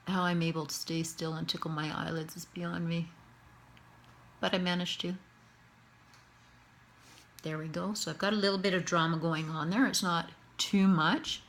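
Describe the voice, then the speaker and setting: A woman's voice: on the low side, steady tone, moderate pace, long pauses, fairly bright tone, slight background noise. Chatty Canadian woman painting her own face. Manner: chatty, thinking aloud, upbeat. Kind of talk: casual tutorial narration while painting herself.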